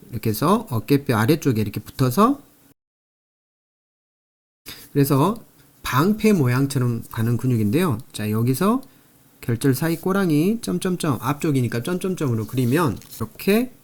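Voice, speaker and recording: A man's voice: mid-range voice, darkish tone. A man giving an explanatory anatomy lecture, repeating key terms and going through things step by step. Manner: repetitive, step-by-step teaching with frequent emphasis. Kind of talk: explanatory lecture, drawing and working through review questions.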